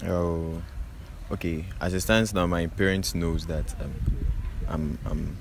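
A young man with a Ghanaian English accent, answering a street interview outdoors into a handheld mic, relaxed and a bit hesitant. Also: deep voice, slow. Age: young adult. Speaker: student interviewee. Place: outdoor university sports court. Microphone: handheld mic held by host. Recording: loud outdoor noise.

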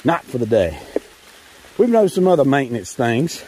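A man narrating a hike casually in a rambling, wry, halting way, recorded outdoors on a handheld camera. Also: medium pitch, very animated, darkish tone, slightly rough, rough recording. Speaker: hiking vlogger. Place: outdoors on a forest trail in rain.

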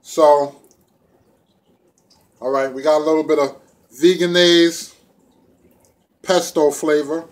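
A man's voice: a little high, fairly even intonation, takes it slowly, pauses often. A middle-aged man vlogging about vegan food, talking to the camera in a laid-back, playful, colloquial way as he eats. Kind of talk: casual chat to camera while eating. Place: small room indoors at home. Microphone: phone or camera propped within arm's reach.

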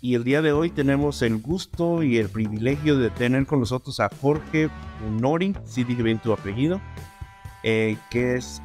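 A man's voice: medium pitch, a little mellow. A man in his forties hosting an interview podcast in a casual, conversational style. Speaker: podcast host. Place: indoor room on a video call.

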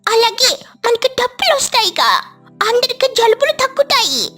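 A chirpy little child sparrow from a cartoon fable. The voice sits very high and childlike, eager to help and pleading with bright-eyed enthusiasm, over light background music. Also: female voice, very animated, moderate pace, exaggerated voice. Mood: eager, persuading.

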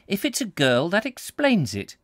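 A man voicing a vague, gentle elderly clergyman who speaks in a dreamy, wavering way. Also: high voice, huge pitch swings, normal speed, no room sound, studio quality, pitched above the performer's own voice, heavily acted. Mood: tender.

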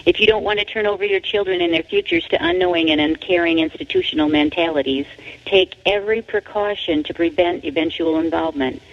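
A woman with a creaky, gravelly edge to the voice narrates a book from a script. The delivery is deliberate and emphatic, and the recording sounds dull and lo-fi. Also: on the low side, sing-song. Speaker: author reading their own book. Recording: dull, lo-fi audio.

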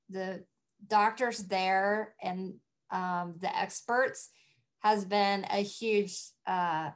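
A middle-aged woman talks as a guest farmer on a video call. Her pace is slow and measured, with a medium pitch. She sounds halting and casual as she explains things. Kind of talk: casual interview answers. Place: small wood-panelled room at home. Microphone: computer mic on a video call.

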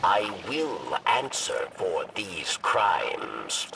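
Casting: weary alien exile, very low, croaking and extremely slow, secretive pleader for a sci-fi game. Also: male voice, wildly swooping pitch, heavily acted. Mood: regretful. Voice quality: gravelly, rasping, breathy hisses. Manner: halting, theatrical, speaks of himself in third person. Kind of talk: video game character acting. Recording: game music behind, slightly distant.

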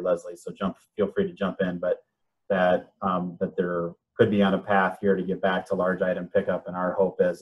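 Deep, flat-toned man, careful and hesitant. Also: low-quality recording. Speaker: city official. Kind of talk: explaining in a remote council meeting. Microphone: phone or video call.

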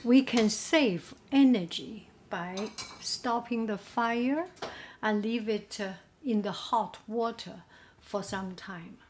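A woman's voice: medium pitch, slow pace, darkish tone, a little hiss or hum. A woman giving a casual home cooking demo, thinking aloud with many fillers. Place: home kitchen at a gas stove.

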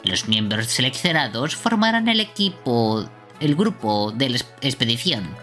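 A woman voicing a bossy, excitable bird deputy making announcements in an amateur game dub. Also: very deep voice, unhurried, faint music behind, exaggerated voice. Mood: stern, formal.

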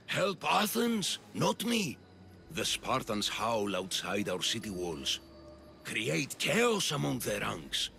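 An actor playing a scheming, grandiose populist politician of ancient Athens in video game dialogue, with a gravelly, oratorical delivery. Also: male voice, medium pitch, huge pitch swings, slow, bright, forceful tone, soft background music, heavily acted. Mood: rousing, commanding.

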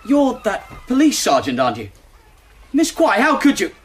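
An actor playing an indignant young male college friend in a radio drama, sharp and outraged. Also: sits high, wildly swooping pitch, moderate pace, noisy background. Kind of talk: BBC radio drama acting.

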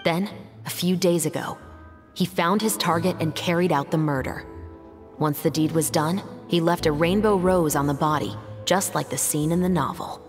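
A woman voicing a composed, serious young police investigator in a video game, speaking in a measured, articulate, matter-of-fact way. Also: lowish voice, soft background music, natural voice. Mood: focused, analytical. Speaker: game character voice actor. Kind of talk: scripted video game dialogue.

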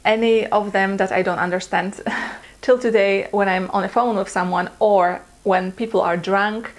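A woman's voice: mid-range voice, fairly even intonation, normal speed. Polish-accented woman, 20s, casual YouTube Q&A host, chatty, filler-heavy. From Poland. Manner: chatty, laughs often, many ums and uhs. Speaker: vlogger. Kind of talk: casual Q&A vlog. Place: living room indoors.

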